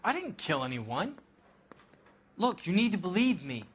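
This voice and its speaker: An actor playing a brash, defensive young 1940s sailor under questioning in a video game, talking in blunt slang. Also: male voice, sits high, big pitch swings, unhurried, fairly bright tone, a little hiss or hum, exaggerated voice. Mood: pleading, defensive. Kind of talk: video game cutscene acting.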